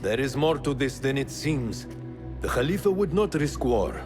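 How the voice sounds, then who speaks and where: This is a man voicing a cautious mentor who weighs every word. He gives grave, measured counsel, his pitch moving widely, while clear music plays underneath. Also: animated, natural voice. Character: wise older male mentor, cautious. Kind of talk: video game cutscene acting. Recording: music playing behind.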